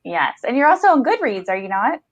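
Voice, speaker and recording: A woman's voice: a little high, very animated, fairly quick, no room sound, highs cut off. A woman hosting a podcast, interviewing an author in a reflective, affirming, conversational way. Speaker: podcast host.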